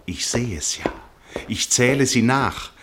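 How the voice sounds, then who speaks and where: An actor playing an absorbed first-person narrator in a literary radio play, measured and suspenseful, with deliberate pauses and a husky voice. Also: male voice, medium pitch, animated, a bit bright, slight background noise. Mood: mounting tension. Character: absorbed male first-person narrator watching a tense match.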